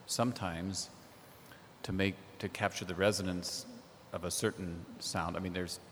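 A man talking as a literary translator on a panel, thoughtful and hesitant, with many pauses and ums. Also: deep voice, fairly even intonation, a bit bright, slight background noise. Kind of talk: panel discussion. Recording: echoey hall.

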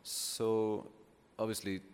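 A man's voice: fairly low pitch, level tone, slow pace, darkish tone. A man with a Welsh accent and Welsh as his first language, giving an informal talk as a poet in a hesitant, thoughtful way. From Wales. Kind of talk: informal unscripted public talk. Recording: echoey hall, clear background noise.

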